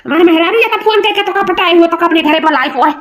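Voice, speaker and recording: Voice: very high-pitched, fast, a bit bright. A performer voicing a hot-headed, boastful village husband in a comedy cartoon, with a rural Awadhi accent and repetitive, colloquial talk. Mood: jealous, threatening.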